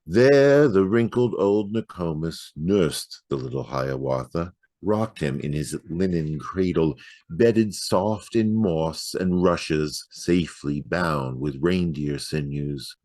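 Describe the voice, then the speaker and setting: A man giving a dramatic poetry reading in a measured, rhythmic storytelling delivery. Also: sits low, a little mellow, no echo. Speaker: poetry reader.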